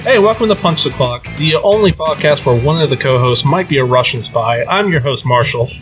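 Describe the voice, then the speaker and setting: A man's voice: mid-range voice, lively intonation, brisk, music in the background. A man in casual, joking conversation as a podcast co-host. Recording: noisy room ambience.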